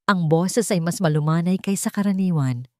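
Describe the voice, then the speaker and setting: Female storyteller, slightly low voice, dry close recording, scripted sentimental romance narration. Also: moderate pace, mellow, no echo.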